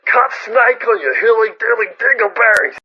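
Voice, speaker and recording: Man acting as irate sketch customer, high-pitched, raspy, folksy insults, muffled lo-fi recording. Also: normal speed, sharp tone, heavily acted. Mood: exasperated. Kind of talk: comedy sketch character voice.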